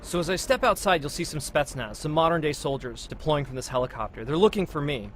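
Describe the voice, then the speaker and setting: Man, slightly high voice, game producer, scripted walkthrough narration, noisy recording with game ambience. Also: lively intonation, quick pace. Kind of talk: scripted gameplay demo narration. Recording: noisy low-quality promotional video audio.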